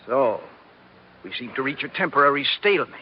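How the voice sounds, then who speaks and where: An actor playing a menacing, coolly polite villain in an old radio drama, speaking formally and with control. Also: male voice, mid-range voice, animated, brisk, close to an everyday voice. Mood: cold menace. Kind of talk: 1940s radio drama acting. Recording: muffled, noisy old radio recording.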